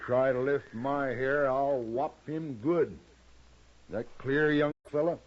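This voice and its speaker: An actor playing a gruff but soft-hearted frontier stable owner with a husky voice and a rural Western American accent. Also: male voice, mid-range voice, fairly even intonation, slow pace, close to an everyday voice. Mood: gruffly kind. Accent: rural American West. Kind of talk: 1950s western radio drama acting. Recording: muffled old radio recording.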